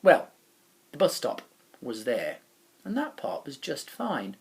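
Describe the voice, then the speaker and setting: Animated man reading a children's storybook, creaky edge. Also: on the high side. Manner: animated, dramatic pauses and emphasis. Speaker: teacher reading aloud.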